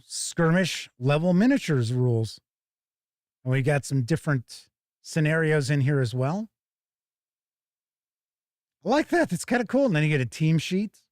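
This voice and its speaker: A man's voice: fairly high pitch, a little mellow, no echo, clean studio sound. A man hosting a tabletop game review stream, chatting casually and thinking aloud as he comments on an unboxing.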